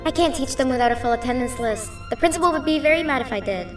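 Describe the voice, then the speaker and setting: Casting: prim cartoon schoolmistress creature for a game, high voice, formal, dutiful, in an echoey space. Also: female voice, expressive, fast. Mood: prim, earnest. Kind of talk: video game character dialogue. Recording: echoey, distant, music behind.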